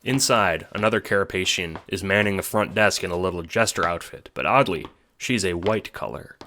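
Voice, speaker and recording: Deep-voiced man narrating a story. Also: normal speed, pushed and bright, slight background noise. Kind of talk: scripted second-person audiobook narration.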